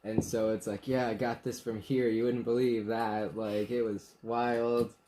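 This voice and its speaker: A relaxed young man joking and chuckling through a casual get-to-know-you chat in a small bedroom. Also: mid-range voice, unhurried, a little hiss or hum. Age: young adult. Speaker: channel co-host.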